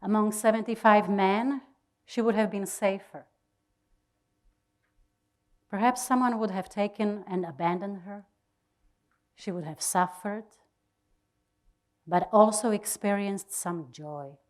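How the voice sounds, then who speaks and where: A woman giving a dramatic reading of a diary, deliberate and expressive, with pauses. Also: medium pitch. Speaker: reader of a diary.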